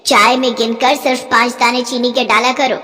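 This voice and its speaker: A woman's voice: fairly high pitch, little pitch movement, normal speed, pushed and bright, in a large hall. A woman playing a stingy, scheming older mother-in-law who scolds and bosses her family. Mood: scolding. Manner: scolding, penny-pinching, bossy.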